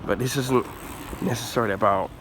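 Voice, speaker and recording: A man from the UK with a husky, congested voice from the flu, giving a casual rambling rant with many ums and throat clearing. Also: medium pitch, a little fast, noisy background. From United Kingdom. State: husky, recovering from flu, blocked nose. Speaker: YouTuber.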